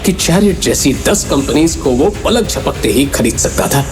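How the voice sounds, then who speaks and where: A man's voice: fairly high pitch, lively intonation, moderate pace, over music. A man narrating a scripted audio drama story in a dramatic storytelling style.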